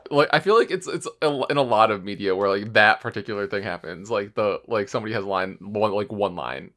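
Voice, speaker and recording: A man co-hosting a podcast, chatting casually in halting, filler-heavy phrases. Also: lowish voice, a bit bright. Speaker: podcast co-host. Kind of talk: casual chat.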